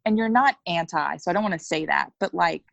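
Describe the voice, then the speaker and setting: A woman's voice: lowish voice, a little fast, vocal fry, a bit bright, no echo, highs cut off. A woman in her thirties speaking frankly as an interview guest, acting out little bits of dialogue as she talks. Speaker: coaching client, interview guest. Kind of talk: candid conversational interview.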